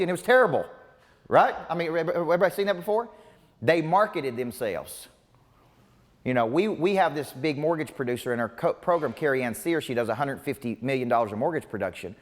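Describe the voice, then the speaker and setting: Forties male business coach, punchy seminar delivery, voice carrying with reverb through a large room. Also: a little high. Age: 40s. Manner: emphatic, punchy repetition. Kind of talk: motivational seminar talk. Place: large seminar room before a live audience.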